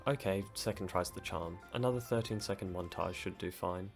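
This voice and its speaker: A man's voice: low voice, moderate pace, creaky, soft background music. A young man narrating a DIY project casually, with dry, self-deprecating humour. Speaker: maker presenting a DIY project.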